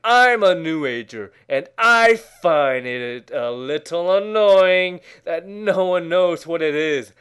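A man with a fairly high voice performs a mock-dramatic reading. His pitch swings widely and his pace is very slow, full of theatrical pauses. He moves between hushed whispering and loud, overwrought declamation. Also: lively intonation, smooth.

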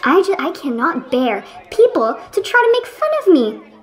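A woman's voice: high-pitched, sing-song, normal speed. A woman acting in a radio play as a pompous, irritable man who lectures a friend in an indignant, condescending way. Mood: offended.

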